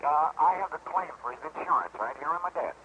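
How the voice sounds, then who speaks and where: A dull, faintly noisy old radio recording carries a male insurance man, played by an actor. He speaks in a deliberate, matter-of-fact, businesslike way, in the manner of 1950s radio drama. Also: on the high side, lively intonation, close to an everyday voice. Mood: grave.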